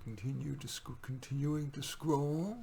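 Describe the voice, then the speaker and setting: A man with a husky voice reading his own poems in a deliberate, wry way, with informal asides. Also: medium pitch, steady tone, warm tone, a little hiss or hum.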